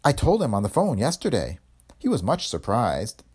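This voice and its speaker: A man's voice: mid-range voice, wildly swooping pitch, normal speed, darkish tone, slightly rough, pitched above the performer's own voice. A man voicing an indignant, loyal young woman in a hardboiled detective story, earnest and defensive. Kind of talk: hardboiled detective audiobook dialogue.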